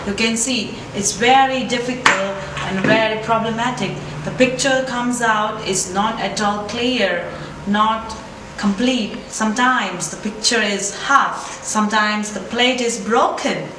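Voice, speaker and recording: Female product demonstrator, thirties, higher-pitched, unhurried instructional read, distant mic in a noisy showroom. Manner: deliberate, instructional. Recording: echoey, distant camera mic, noisy room.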